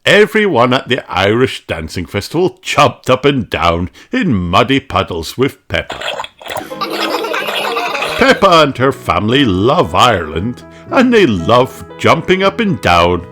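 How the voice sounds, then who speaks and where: A man narrates a children's storybook over music. His pitch is average but swings very widely, and he reads at an unhurried pace. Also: wildly swooping pitch, a bit bright. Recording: music behind the voice.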